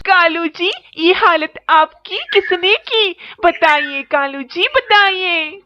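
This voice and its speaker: Demanding crow wife, acted by a woman: very high, bright, reproachful, children's cartoon, muffled lo-fi sound. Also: takes it slowly, no echo, full character voice. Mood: concerned. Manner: nagging, reproachful. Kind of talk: children's cartoon story dialogue. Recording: muffled lo-fi with faint noise.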